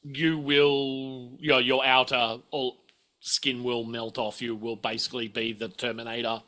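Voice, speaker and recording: A man's voice: medium pitch, level tone, measured pace, pushed and bright. A man running a tabletop game as game master, explaining and thinking aloud as he improvises.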